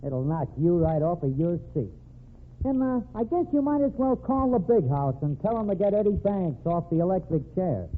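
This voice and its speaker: Sardonic American newshound, higher-pitched, very animated, slangy, vintage radio crime drama with tinny sound. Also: male voice, moderate pace. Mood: brash, excited. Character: brash, wisecracking male newspaper reporter. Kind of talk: old-time American radio drama acting. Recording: thin, band-limited, noisy old radio recording.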